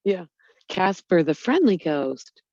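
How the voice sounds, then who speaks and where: A woman's voice: fairly low pitch, big pitch swings, slow, a little mellow, no room sound, muffled recording. A woman talking earnestly in a casual group chat, rambling with lots of fillers. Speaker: Bible study group member.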